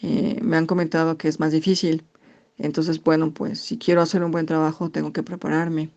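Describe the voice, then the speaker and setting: A Mexican woman answers interview questions slowly and thoughtfully, pausing on fillers as she works out each point. Her voice carries a gravelly edge, and the recording sounds dull and lo-fi. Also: low-pitched, little pitch movement, a little mellow. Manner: thoughtful, halting with frequent fillers. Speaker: interviewee, English teacher.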